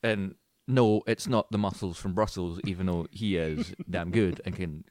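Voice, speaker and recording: Wry, lower-pitched man in dry sound. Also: moderate pace, darkish tone, no room sound. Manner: wry, joking, teasing. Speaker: podcast co-host. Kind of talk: casual chat, film and games banter.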